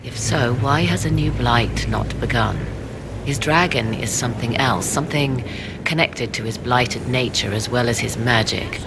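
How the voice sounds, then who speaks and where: A proud, secretive sorceress from a fantasy game. Her low voice crackles with fry as she talks in measured, old-fashioned, scholarly phrases. There is clear background noise. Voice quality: low, creaky. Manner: formal, archaic, measured phrasing. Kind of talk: video game dialogue acting.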